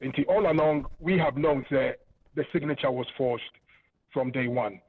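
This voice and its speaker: A Ghanaian man calling in to a TV program, speaking in a measured, hesitant way. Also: mid-range voice. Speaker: caller, family member. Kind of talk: phone-in interview. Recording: tinny phone line.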